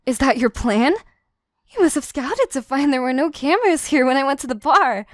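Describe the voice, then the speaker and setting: A woman's voice: high voice, lively intonation, dry recording, clean studio sound. A woman playing an obsessive, scheming yandere assistant in an audio roleplay, speaking in formal, theatrical phrases to the listener. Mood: threatening. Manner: theatrical, formal phrasing, slow and deliberate, dropping into whispers. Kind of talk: scripted audio roleplay, intimate monologue to listener.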